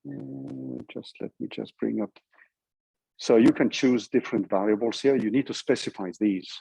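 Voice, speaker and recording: A man's voice: mid-range voice, steady tone, moderate pace, gravelly, warm tone, husky, poor audio. A man with a Norwegian accent giving an informal university lecture, explaining things step by step and checking in with his listeners. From Norway. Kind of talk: informal lecture walking through code.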